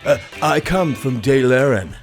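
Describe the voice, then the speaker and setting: A man's voice: mid-range voice, animated, a little slow, faint music behind. A man acting a laid-back, easily led writer in an audio drama, casual and nonchalant. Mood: nonchalant, compliant. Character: laid-back, idle male writer, easily pushed around.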